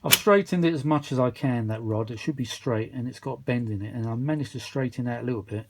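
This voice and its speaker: A man with a mid-pitched voice chats casually as he works. He rambles without a script, grumbling in a mildly weary way, like a hobbyist repair vlogger talking through a job. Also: quick pace, noisy background. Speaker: vintage audio repair vlogger. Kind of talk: casual unscripted workshop chat.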